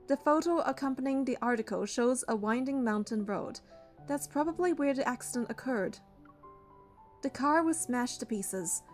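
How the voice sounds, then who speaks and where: An actress playing a devoted, protective young bodyguard heroine in a romance game, telling her story in an earnest, measured way. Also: on the high side, natural voice. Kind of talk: romance game narration and dialogue.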